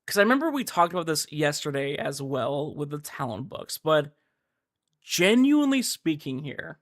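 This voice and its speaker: A man's voice: a little high, very animated, normal speed. A man livestreaming a game, chatting casually and giving commentary in a slangy, informal way full of filler words. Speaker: livestreamer playing a gacha game.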